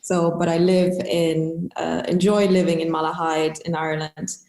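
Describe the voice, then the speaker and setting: German-accented woman team lead, chatty and upbeat, lowish voice, roomy echo. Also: little pitch movement, normal speed, darkish tone. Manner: chatty and upbeat, with frequent fillers. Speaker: customer success team lead. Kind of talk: casual interview talk.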